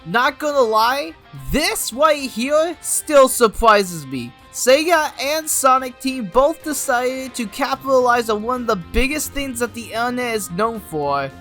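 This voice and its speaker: An animated video game reviewer with a bright, forceful voice of middling pitch. The delivery is an enthusiastic scripted review, full of emphasis and exclamation. Music plays softly behind the voice. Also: a little slow.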